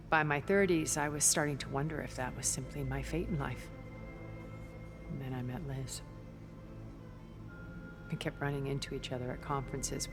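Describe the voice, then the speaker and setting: A poised, wistful woman from a video game, speaks in a low register with careful, softly breathed phrasing. Her manner is formal and controlled, and music plays clearly behind her.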